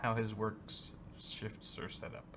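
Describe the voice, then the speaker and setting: A man's voice: fairly low pitch, monotone, measured pace, a bit bright, a little hiss or hum. A man co-hosting a live show in casual banter, joking and swearing freely as he chats and reads out viewer messages. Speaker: co-host of a live tech show. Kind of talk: casual banter, reading viewer donations aloud.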